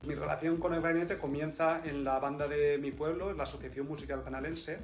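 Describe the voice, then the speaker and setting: A man's voice: fairly high pitch, steady tone, a little fast, faint music behind. A man from Valencia, a clarinetist, answering interview questions thoughtfully, often restarting his sentences. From Valencia, Spain. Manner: reflective, many restarts and repeated words. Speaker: clarinetist in a quartet. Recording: echoey room.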